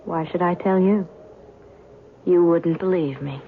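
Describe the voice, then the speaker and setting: Cool, mysterious stranger, tinny radio sound. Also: female voice, fairly low pitch, unhurried. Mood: aloof, evasive. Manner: cool, curt, evasive. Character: mysterious, aloof stranger calling herself a reporter, coolly knowing. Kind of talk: old-time radio drama acting. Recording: tinny, band-limited old radio recording, faint noise.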